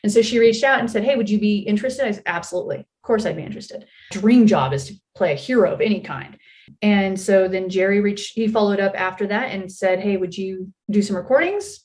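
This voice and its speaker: Female interview guest, mid-range voice, wry and self-deprecating, animated casual storyteller. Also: mid-range voice, brisk. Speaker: voice actor being interviewed. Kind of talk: casual chat.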